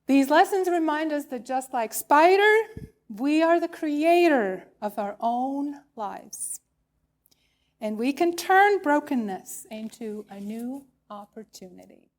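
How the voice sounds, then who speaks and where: Woman minister giving a sermon, kinda high voice, slow and deliberate, asks questions as she goes. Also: expressive. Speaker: minister preaching. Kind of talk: sermon with storytelling and rhetorical questions.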